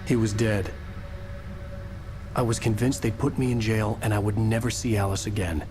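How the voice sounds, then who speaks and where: A man acts out a haunted writer in a video game thriller. His voice sits low and he speaks in a measured, brooding way. Loud music plays over him. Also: steady tone, close to an everyday voice. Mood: brooding, uneasy. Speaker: narrator and lead character. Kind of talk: scripted video game narration.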